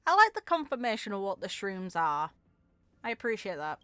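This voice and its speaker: A woman's voice: medium pitch, sing-song, brisk, bright, forceful tone, clear, resonant tone, dry recording. A woman giving casual reaction commentary on a game, musing aloud with short emphatic asides. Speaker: content creator reacting to game voicelines.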